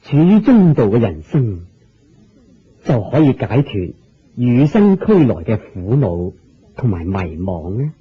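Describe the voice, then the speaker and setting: An actor playing a serene, wise Buddha in a Cantonese radio drama, teaching in measured phrases with a husky voice and a Hong Kong accent. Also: male voice, mid-range voice, sing-song, heavily acted. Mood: solemn, instructive. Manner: measured, sermon-like explaining in short phrases. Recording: dull lo-fi radio drama recording.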